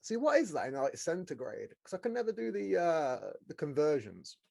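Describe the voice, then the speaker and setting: A man hosting a podcast as a casual, blokey, excitable chat with plenty of swearing. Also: on the high side, normal speed, vocal fry. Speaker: podcast host. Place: small studio room.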